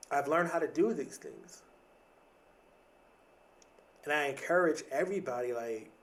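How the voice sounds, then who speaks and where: A man in his late thirties hosting a podcast, giving a casual motivational monologue in a reflective, conversational way, repeating phrases for emphasis. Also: mid-range voice. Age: late 30s. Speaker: podcast host.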